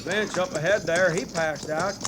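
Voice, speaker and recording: An actor playing a stoic frontier cavalry trooper in a classic radio western, talking in a folksy way. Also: male voice, high voice, moderate pace, lightly acted. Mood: urging. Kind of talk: classic old-time radio western acting. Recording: noisy, horse hooves and animals behind.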